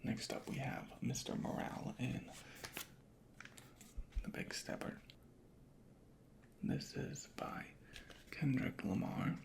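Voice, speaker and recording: A soft-spoken ASMR creator murmuring methodically in a breathy, whispery voice, unhurried and close to the microphone. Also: mid-range voice, level tone. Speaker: ASMR creator playing a record inspector.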